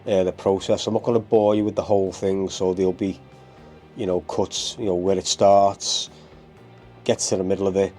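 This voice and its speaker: A man's voice: low voice, dark tone. A man with a cold and a stuffy, bunged-up voice, hosting his hobby channel in a rambling casual how-to with lots of ums and uhs. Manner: rambling, full of ums and uhs, keeps saying guys.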